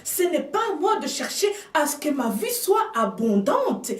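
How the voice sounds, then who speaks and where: Female preacher, non-native speaker, measured emphatic sermon, distant echoey pickup. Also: sits high, very animated. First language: another language than French. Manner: slow, emphatic preaching with hushed asides. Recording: echoey room, speaker off mic.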